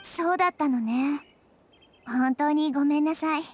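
A woman voicing a gentle, wise little girl deity in an anime game, speaking softly and with composure. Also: high-pitched, slight background noise, lightly acted. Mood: caring, apologetic. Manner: soft, composed, feminine phrasing with measured delivery. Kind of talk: anime game dialogue acting.

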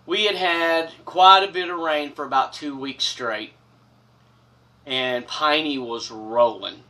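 Guy spinning a yarn, bright forceful voice, bit far from the mic. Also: a little high. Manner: animated, gestures broadly with both hands. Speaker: storyteller host. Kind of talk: casual spoken storytelling. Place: small wood-paneled room, seated on couch.

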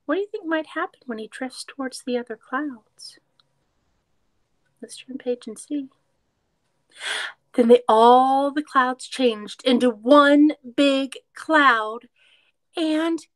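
Slow, higher-voiced woman reading to children. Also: no echo. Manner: expressive, slow storytelling with questions to young listeners. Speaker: children's story reader. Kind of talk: picture-book read-aloud for young children.

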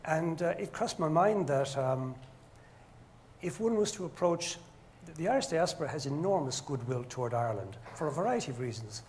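An older man speaking as a panelist, giving measured remarks with frequent hesitant pauses. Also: a little high, lively intonation, a little mellow. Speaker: panelist, engineer. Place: stage of a lecture hall, indoors. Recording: faint noise in a hall.

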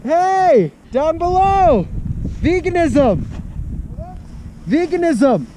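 Very high-voiced young man outdoors. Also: very animated, extremely slow. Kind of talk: casual chat and shouting on a ski trip. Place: outdoors on a chairlift. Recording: windy outdoor sound, music behind.

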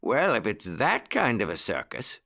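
A man voicing a kindly, fussy old gentleman rabbit in a children's story, with a genteel, exclamatory manner. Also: medium pitch, huge pitch swings, a little slow, creaky, heavily acted. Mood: musing.

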